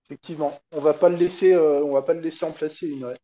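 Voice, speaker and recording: A man's voice: a little high, steady tone, fast talker, mellow. A man with a French accent hosting a casual conversation, searching for his words, on a slightly dull recording. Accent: French from France. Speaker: podcast host.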